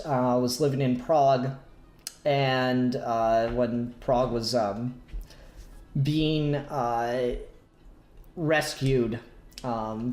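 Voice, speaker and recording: A man hosting a casual review discussion, speaking haltingly and searching for words as he works through his points. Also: mid-range voice, darkish tone. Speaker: podcast host discussing comics. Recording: roomy echo, faint music behind.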